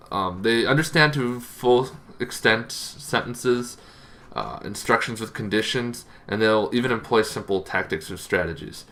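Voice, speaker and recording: Deep gravelly-voiced man explaining game monsters. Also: sharp tone. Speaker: tabletop gaming channel host.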